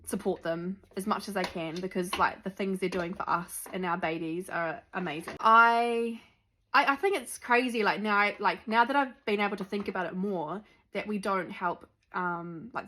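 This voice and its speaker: A woman's voice: fairly low pitch, pushed and bright. Chatty young New Zealand woman. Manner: chatty, lots of ums and likes. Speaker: vlogger. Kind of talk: sit-down chat to camera.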